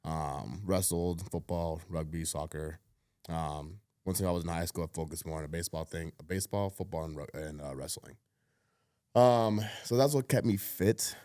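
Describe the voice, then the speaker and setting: Male guest, thirties, very low voice, laid-back, filler-heavy, drops to a mutter, dead-dry sound. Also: normal speed, dark tone, dry recording. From Cuba. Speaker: podcast guest. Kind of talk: casual storytelling chat.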